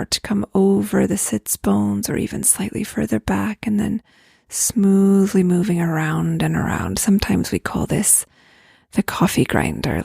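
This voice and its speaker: Breathy, whispery woman leading slow meditation. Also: mid-range voice, no echo, studio quality. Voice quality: soft, breathy, near-whisper. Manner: gentle, unhurried, spacious phrasing. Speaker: guided meditation leader, host. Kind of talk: guided prayer meditation, slow invitations.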